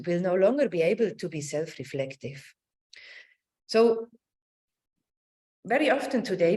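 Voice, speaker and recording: A middle-aged woman giving an academic lecture over a video call, with a halting, searching delivery that circles back over words. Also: lowish voice, darkish tone. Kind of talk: academic lecture with slides and read quotations. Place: indoors on a video call with screen sharing.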